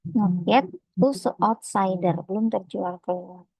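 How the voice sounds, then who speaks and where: A woman with an Indonesian accent giving a lecture that explains slides, speaking hesitantly with frequent pauses and filler phrases. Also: medium pitch, gravelly, a little mellow, husky, dry recording. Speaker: accounting lecturer.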